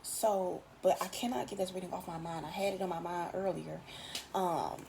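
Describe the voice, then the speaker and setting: Raspy woman reading tarot, just woke up, low voice, chatty and loose, noisy background. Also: steady tone, moderate pace. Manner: loose, chatty, swears casually. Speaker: tarot reader. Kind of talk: casual tarot reading monologue.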